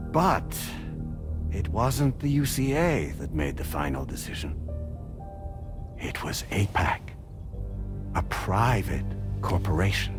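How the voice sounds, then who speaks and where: Game role: a male company president, deep, authoritative and courteous, measured formal speech over soft music. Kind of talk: scripted game cutscene speech.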